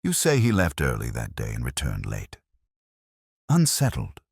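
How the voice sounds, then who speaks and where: A man voicing a brisk Victorian detective in a terse, formal, probing way for a storybook. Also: very deep voice, huge pitch swings, gravelly, husky, dry recording, studio quality, exaggerated voice. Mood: probing, intent.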